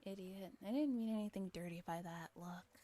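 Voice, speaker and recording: A woman's voice: on the low side, normal speed, dark tone, pitched below the performer's own voice, close to an everyday voice. A woman voicing a flustered teenage boy from a visual novel, blunt and easily exasperated.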